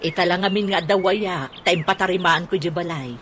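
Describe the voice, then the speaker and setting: A woman acting a cheerful, lovestruck older widowed aunt in an Ilocano radio comedy drama, with a giggly, animated manner. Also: lowish voice, moderate pace, lightly acted. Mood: cheerful, smitten. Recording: radio drama with clear background noise.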